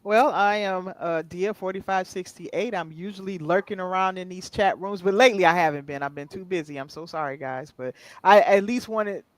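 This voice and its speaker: A man's voice: sits high, normal speed, hardly any pauses, no room sound. A man joining a podcast as a guest, talking casually in a reassuring, explanatory way. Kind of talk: casual chat.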